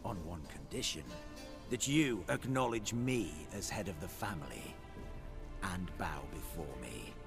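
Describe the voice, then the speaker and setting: A man's voice: low-pitched, expressive, a little slow, gravelly, over music, exaggerated voice. An actor playing a cold, domineering nobleman in a video game, haughty and menacing as he asserts his rule over the family. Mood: smug magnanimity. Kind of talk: dramatic video game acting.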